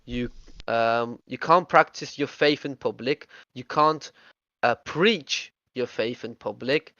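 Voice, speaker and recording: A man's voice: mid-range voice, slow pace, sharp tone, dry recording. Eager man rambling in dry lo-fi chat. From Russia. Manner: eager, talks in bursts with many restarts and fillers. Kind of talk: casual group voice chat.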